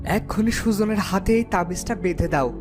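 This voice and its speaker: A woman's voice: mid-range voice, moderate pace, over music, lightly acted. A woman voicing a steadfast, protective village woodcutter father in a fairy-tale cartoon, speaking firmly. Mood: concerned.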